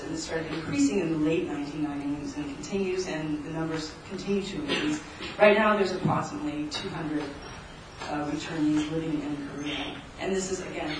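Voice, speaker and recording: A woman presenting research at an academic conference, speaking in a measured, explanatory way with frequent ums and restarts. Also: deep voice. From United States. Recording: echoey hall, distant mic, noisy.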